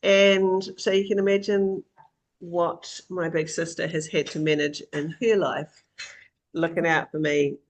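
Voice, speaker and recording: A woman's voice: on the low side, steady tone, a little slow. A woman hosting a casual group video call in an informal, teasing way. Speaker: host.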